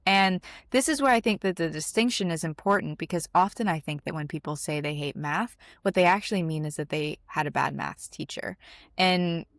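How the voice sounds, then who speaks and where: A woman's voice: fairly low pitch, moderate pace, dry recording, studio quality. A twenty-year-old woman from Dubai, co-hosting a podcast in earnest, thoughtful chat. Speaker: podcast co-host.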